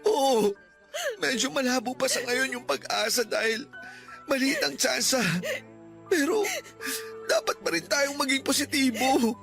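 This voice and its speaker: An actor playing a devoted young boyfriend in a radio drama, reassuring softly and pleading through tears. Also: male voice, very high voice, animated, measured pace, creaky, exaggerated voice. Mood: comforting. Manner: soft, reassuring, tearful pleading. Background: music.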